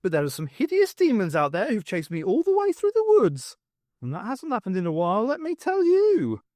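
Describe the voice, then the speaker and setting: A man's voice: high voice, huge pitch swings, fairly quick, a little mellow, no room sound, studio quality, pitched above the performer's own voice. A man voicing a flustered, dramatic, chatty woman in a comic audiobook. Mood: breezy, alarmed.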